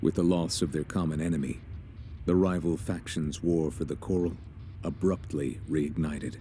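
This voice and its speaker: A man narrating as a grave war chronicler in a video game, formal, measured and solemn. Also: very deep voice, steady tone, warm tone, slight background noise.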